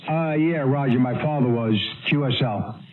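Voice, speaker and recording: A man's voice: medium pitch, normal speed, slight background noise. An American man heard over a radio transmission, chatting casually and effusively as a ham operator, repeating phrases for emphasis. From United States. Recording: narrow, tinny shortwave radio transmission.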